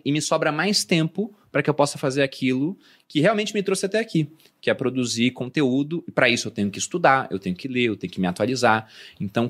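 Fast-talking Brazilian man, chatty. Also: mid-range voice. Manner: fast, explanatory, animated when telling stories. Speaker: podcast co-host. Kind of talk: casual chat.

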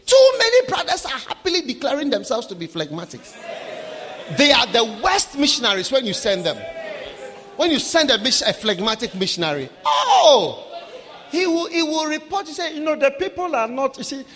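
A man from Africa preaching a sermon, swinging between shouted lines and low, quiet asides. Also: very animated, normal speed. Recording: live sermon with long hall reverb.

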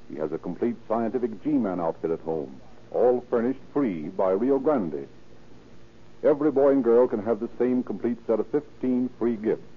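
A man's voice: fairly low pitch, slightly rough. A man with an American accent delivering formal, declamatory scripted narration as a 1930s radio announcer. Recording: muffled, faintly noisy 1930s radio recording.

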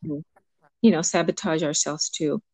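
A middle-aged woman from Cuba, a guest coach, speaking thoughtfully with ums and pauses. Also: deep voice, fairly even intonation, no room sound. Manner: warm, reflective, pauses with ums. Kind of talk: interview conversation.

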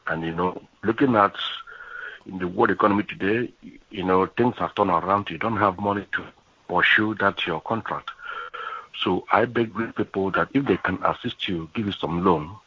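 A man on a phone call, halting and full of fillers as he explains a loan offer. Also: low voice, sharp tone, breathy edge, no echo. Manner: halting, many fillers and "you know". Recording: dry, dull phone-line audio.

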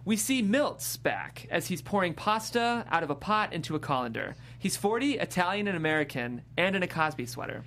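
A woman's voice: fairly low pitch, lively intonation, a little fast, few pauses, fairly bright tone, slight background noise. A woman hosting a show and reading scripted stage directions with enthusiasm. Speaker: host and stage-direction reader.

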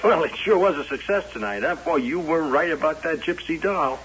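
A man's voice: fairly high pitch, expressive, a bit bright. An actor playing a nervous, stammering male carnival worker in a radio drama. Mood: uneasy. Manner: halting, stammering. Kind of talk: 1970s radio drama acting. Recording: hissy, dull old radio broadcast.

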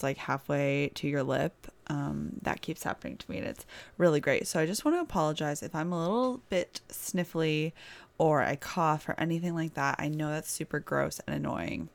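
A woman's voice: low voice, creaky. A young woman hosting her own podcast from a house, chatting casually and rambling with lots of "like", sounding stuffy-nosed with a sore throat. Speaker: podcast host. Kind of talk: casual solo podcast chat. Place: boyfriend's house, indoors.

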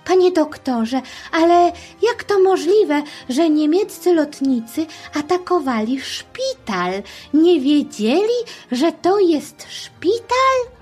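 A woman's voice: extremely high pitch, sing-song, takes it slowly, darkish tone, soft background music, voice pushed up, heavily acted. A woman voicing a female radio interviewer in an audio drama, formal, earnest and respectful with the guest. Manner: formal, courteous questioning.

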